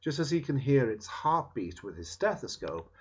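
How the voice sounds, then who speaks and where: Male audiobook-style reader, husky voice, animated intonation, emphatic pauses; dull lo-fi recording. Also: medium pitch. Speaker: reader of a book chapter. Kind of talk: scripted read-aloud narration, audiobook style.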